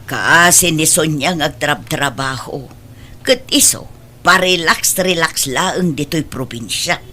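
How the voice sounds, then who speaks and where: A woman's voice: low-pitched, slow, sharp tone, full character voice. A woman playing a gossipy, disapproving older village mother in an audio drama, with an Ilocano accent. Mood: gossipy disapproval.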